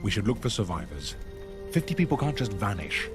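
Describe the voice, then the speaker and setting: A duty-minded fantasy game hero. His voice is fairly low with very varied intonation, earnest and watchful. Clear music runs underneath. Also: big pitch swings, brisk. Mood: serious. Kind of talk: video game voice acting.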